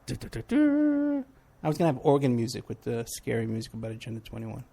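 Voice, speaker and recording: Sarcastic rambling New talk host. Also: male voice, medium pitch, wildly swooping pitch, quick pace, gravelly, warm tone. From New York City. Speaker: radio show host, private investigator. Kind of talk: casual talk radio monologue.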